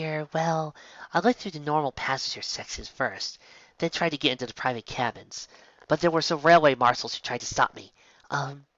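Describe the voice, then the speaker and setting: A woman's voice: sits low, a bit bright, no room sound. A man voicing an anxious, protective young mare who stammers when flustered. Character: worried, protective young earth pony mare. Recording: dull, lo-fi home recording.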